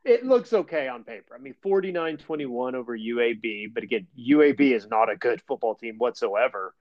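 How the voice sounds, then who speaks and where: A man's voice: medium pitch, expressive, a little fast, dry recording, lo-fi sound. A man hosting a sports podcast, easygoing and wry as he runs through scores and stats. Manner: easygoing, wry, stat-heavy. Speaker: podcast host. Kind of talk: casual sports chat.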